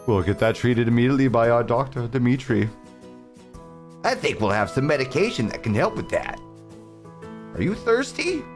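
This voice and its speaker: A man's voice: medium pitch, sing-song, moderate pace, long pauses, faint music behind, natural voice. A man playing a scheming, polite lady of the mansion. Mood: sweetly scheming. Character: gracious scheming female mansion hostess.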